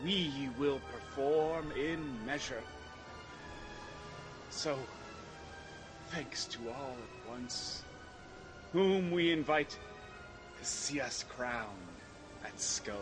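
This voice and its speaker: A man's voice: medium pitch, extremely slow, long pauses. An actor playing an earnest, noble young king in a Shakespearean radio drama, with stately verse delivery. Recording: loud music behind the voice.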